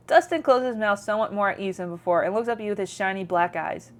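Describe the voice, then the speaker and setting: A woman's voice: mid-range voice, fast talker. A woman streamer reading game narration aloud with playful, chatty casual commentary.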